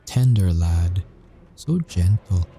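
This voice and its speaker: A man playing a cocky but haunted werewolf soldier, telling his story in a wry, measured way with dramatic pauses, in an ASMR audio roleplay. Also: low-pitched, expressive, gravelly, mellow, faint music behind, full character voice. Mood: wry, fond.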